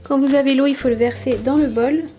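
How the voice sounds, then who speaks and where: A young woman with a somewhat high voice narrates a toy demonstration step by step. She speaks casually and unhurriedly, with small fillers as she thinks. Clear music plays underneath the voice-over. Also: brisk. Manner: step-by-step explaining, relaxed with fillers. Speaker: toy review YouTuber.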